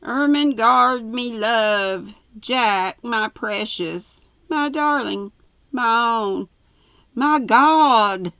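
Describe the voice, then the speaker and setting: A woman's voice: on the low side, slow pace, gravelly, fairly bright tone, dry recording, full character voice. A woman voicing a coy young farmer's daughter in love, gushing and demure in a flowery storybook melodrama. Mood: gushing adoration.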